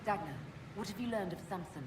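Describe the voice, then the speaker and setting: An actress playing a composed, authoritative heroine in a video game, direct and formal. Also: female voice, mid-range voice, fast talker, natural voice. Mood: questioning. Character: composed, authoritative female leader, a mage heroine. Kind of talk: dramatic video game cutscene acting. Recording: hall reverb with background noise.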